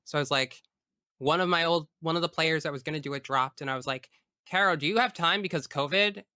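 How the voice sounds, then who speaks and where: A man talks casually as an interview guest, with a bright, smooth voice. He is expressive and emphatic, full of enthusiasm. The sound is dry and close, without room echo. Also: on the high side, fast. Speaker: tabletop game master. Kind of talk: casual interview chat about personal experience.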